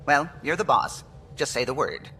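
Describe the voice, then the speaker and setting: Excitable high-voiced alien pilot. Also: male voice, moderate pace, slight background noise. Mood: eager, playful. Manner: chirpy, teasing, animated. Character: eager, excitable male alien starship pilot. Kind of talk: video game cutscene acting.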